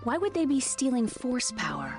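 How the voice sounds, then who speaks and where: A woman's voice: high-pitched, big pitch swings, moderate pace, over music. A woman voicing a modest, cautious young Jedi student in a video game.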